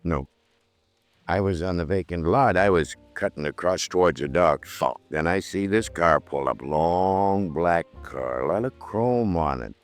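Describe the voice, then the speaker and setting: A man's voice: deep voice, a little slow, soft background music. An American man acting a simple, pious newspaper seller from the docks, earnest and rambling, in a 1950s radio drama.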